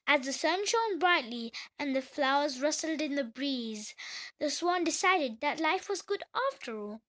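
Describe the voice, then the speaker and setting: A woman's voice: sits high, animated, a little slow, pushed and bright, poor audio. A woman reading a children's story aloud as narrator, in a storybook read-along style.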